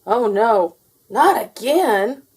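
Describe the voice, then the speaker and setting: A bewildered storybook townsman, acted by a woman, stretches out words in dismay. The pitch rides high and moves about dramatically. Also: animated, extremely slow, slightly rough, exaggerated voice, low-quality recording.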